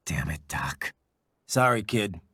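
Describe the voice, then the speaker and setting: Gravelly, low-voiced grim cyborg mercenary. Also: male voice, expressive, sharp tone, no echo, clean studio sound, full character voice. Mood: gruff, protective. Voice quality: raspy, low growl. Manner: terse, slow, deliberate. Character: grim, battle-hardened male cyborg mercenary. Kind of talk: video game cutscene acting.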